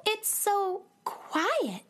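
A woman's voice: extremely high pitch, big pitch swings, drawn-out words, a bit bright, heavily acted. A woman playing a cheerful, curious eight-year-old space girl in a children's radio play. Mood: curious, puzzled.

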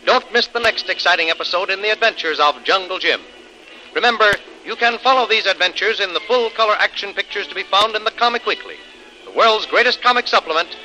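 Male 1930s serial announcer, emphatic and scripted, very bright high voice. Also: rough recording. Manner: emphatic, theatrical. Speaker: radio announcer.

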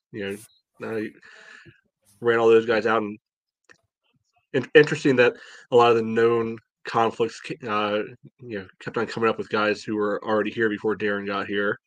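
A man with a fairly low voice in a dry, close recording. He chats about sports as a panelist and delivers his opinions bluntly, with a wry edge. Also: no room sound. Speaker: podcast panelist. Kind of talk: casual sports opinion chat.

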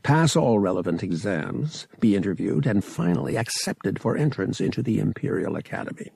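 A man reading a science fiction novel aloud as an audiobook narrator, with expressive storytelling. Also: fairly low pitch, normal speed, gravelly, slightly rough, dry recording, clean studio sound. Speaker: audiobook reader.

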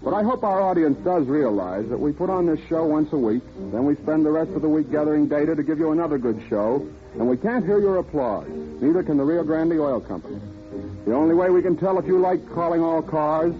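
A dull old radio recording with music features a high-voiced man as host. He pitches the sponsor in scripted 1930s announcer style.

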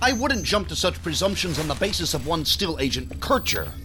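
An actor playing an officious, easily irritated male federal agent who briefs colleagues in a formal, measured, pompous way for an audio drama. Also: fairly high pitch, big pitch swings, background noise. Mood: irritated condescension.

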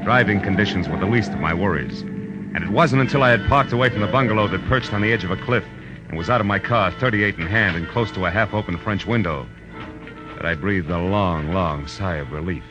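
A man's voice: low-pitched, fairly quick, lo-fi sound, lightly acted. A man playing a tough, wisecracking private eye in a clipped, sardonic, slangy 1940s radio detective style. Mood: tense narration. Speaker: radio drama lead actor. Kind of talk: hard-boiled 1940s radio detective acting. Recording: old radio broadcast with music.

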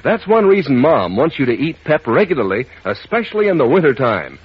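Lively, folksy vintage radio pitchman. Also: a little fast, husky. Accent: American. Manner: folksy, enthusiastic. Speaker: commercial announcer. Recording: muffled old radio broadcast.

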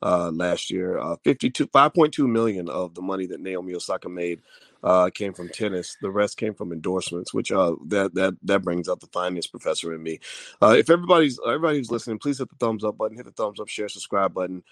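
A man's voice: low voice, fast talker, no echo. A man co-hosting a podcast, chatting casually and joking as he reads out viewer comments. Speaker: podcast co-host. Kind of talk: casual live-stream chat.

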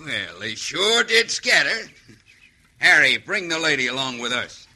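An actor playing a cocky, menacing outlaw gang boss with a mocking Western American drawl in an old-time radio western. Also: male voice, fairly high pitch, very animated, a little slow, pushed and bright, exaggerated voice. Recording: vintage radio recording, faint hiss.

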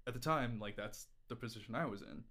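A man's voice: mid-range voice, brisk, bright, forceful tone. Candid man telling stories casually. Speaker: podcast guest, musician. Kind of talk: casual conversational storytelling.

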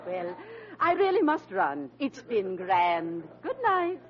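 A woman's voice: medium pitch, very animated, takes it slowly, background noise. A woman playing a formal, refined and politely cool college dean in old radio comedy style. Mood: politely disapproving. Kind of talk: 1940s radio comedy acting. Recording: dull old radio recording.

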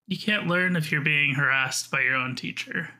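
A woman's voice: low voice, moderate pace, pushed and bright. A woman co-hosting a podcast in casual banter with the other host.

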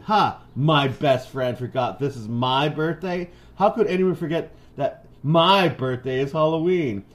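Man reading as Wilson, a droopy, sulky mummy boy. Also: on the high side. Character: Wilson, gloomy neglected young mummy boy, droopy and self-pitying. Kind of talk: storybook character read aloud.

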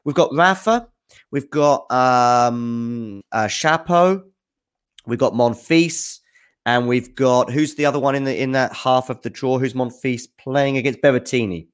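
A man's voice: medium pitch, measured pace, clear, resonant tone. A man hosting a tennis news show, answering viewer questions in casual, off-the-cuff spoken commentary, thinking aloud with enthusiasm.

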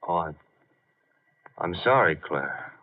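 Slangy, tough detective's sidekick, mid-pitched with animated delivery, flirtatious edge, muffled vintage radio. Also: male voice, slow pace. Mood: apologetic. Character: brisk, tough, flirtatious male detective's assistant. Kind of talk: 1950 radio detective drama acting. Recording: muffled old 1950 radio broadcast.

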